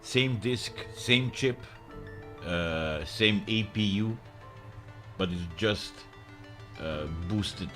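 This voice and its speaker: Deep slow man with Croatian accent. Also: fairly bright tone, soft background music. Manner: rambling, many filler pauses, broken English grammar. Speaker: livestreamer. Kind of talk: casual live-stream chat with viewers.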